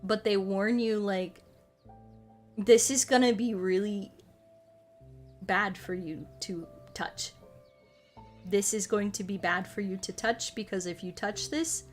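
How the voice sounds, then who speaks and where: A woman chats casually as a streamer, with light music behind her. Her pitch is average and her pace is slow. She is playful and rambling, circling back over short phrases. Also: fairly even intonation. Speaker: VTuber streamer chatting with viewers. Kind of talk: casual livestream chat over a game.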